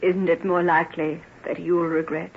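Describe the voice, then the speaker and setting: An actress playing a devoted, self-effacing plain woman in love, emotional and halting, in an old-time radio drama. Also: lowish voice, little pitch movement, slight background noise, lightly acted. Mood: quiet, devoted. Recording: muffled, rough old radio recording.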